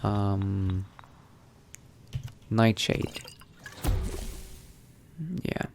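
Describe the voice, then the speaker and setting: Man, lowish pitch, slow musing pace, in-character gaming commentary, faint background noise. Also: level tone, creaky. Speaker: gamer narrating a roleplay playthrough. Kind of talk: in-character game commentary, thinking aloud.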